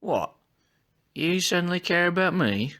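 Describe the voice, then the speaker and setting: A man's voice: sits high, animated, a little slow, fairly bright tone. A man voicing a sly, mocking male animal criminal with a cynical drawl in an audio drama. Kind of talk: fan audio drama villain acting.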